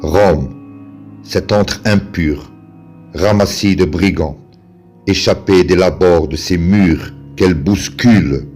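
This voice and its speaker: Male poetry reciter, very low voice, solemn and declamatory, unhurried, over music. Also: a little mellow. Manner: slow, measured, declamatory delivery with pauses.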